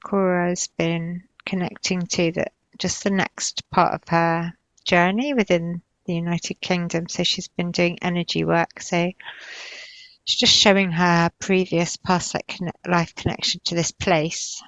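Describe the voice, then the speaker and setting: A woman's voice: lowish voice, level tone, measured pace, a bit bright, no room sound, rough recording. A woman narrating spontaneously in a guided trance session, hesitant and drifting as she searches for words. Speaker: client recounting past-life visions in a guided session.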